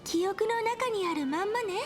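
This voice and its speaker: A bubbly, teasing young heroine speaks in a very high, sweet voice at a quick clip. Soft music plays under her lines in the game audio. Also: lively intonation. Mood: playful. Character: playful bubbly young woman, gentle and teasing. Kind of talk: Japanese game voice acting.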